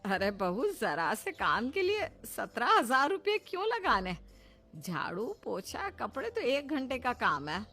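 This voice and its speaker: A woman's voice: a little high, wildly swooping pitch, measured pace, bright, forceful tone, faint music behind, exaggerated voice. A woman voicing a doting, naive older mother-in-law in a motherly, soothing manner for a cartoon. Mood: puzzled. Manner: soothing, simple-minded, motherly. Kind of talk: cartoon voice acting.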